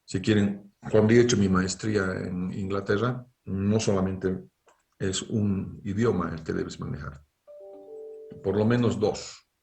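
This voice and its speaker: A man's voice: low voice, fairly even intonation, unhurried, vocal fry, darkish tone, reverberant, soft background music. A middle-aged man with a Bolivian Spanish accent, giving an online university engineering lecture and often checking in with rhetorical questions. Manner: lecturing, frequent rhetorical "¿no es cierto?" tags. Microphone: video call.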